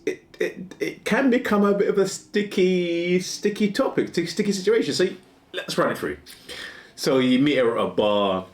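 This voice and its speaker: Rambling man musing in an echoey room. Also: mid-range voice. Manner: rambling, restarts often, laughs at himself. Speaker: vlogger. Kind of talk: casual musing monologue.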